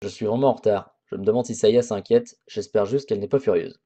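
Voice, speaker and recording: A man's voice: fairly low pitch, a little fast, mellow. A man hosting a let's play, chatting casually over a horror visual novel with crude jokes and frequent restarts. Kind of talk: casual game commentary.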